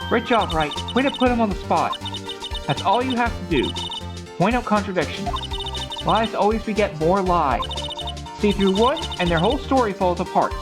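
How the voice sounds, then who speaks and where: A woman's voice: medium pitch, animated, normal speed, over music, voice pushed up, lightly acted. Female legal mentor for a visual novel, read by a streamer: mid-range, composed, confident, guiding the novice. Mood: encouraging. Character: confident female mentor lawyer, calm, encouraging and instructive.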